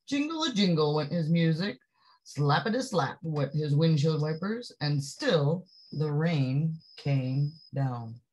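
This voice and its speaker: A woman reading a picture book aloud to young children, expressively, with drawn-out phrases and chatty asides that put questions to the listener. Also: low voice, animated, darkish tone, rough recording. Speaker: storybook reader.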